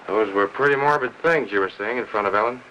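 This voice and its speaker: A man's voice: lowish voice, quick pace, pushed and bright, a little hiss or hum, low-quality recording. A man acting in a 1950s noir film as a concerned family doctor, earnest, persuasive and authoritative. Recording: old film soundtrack with music behind.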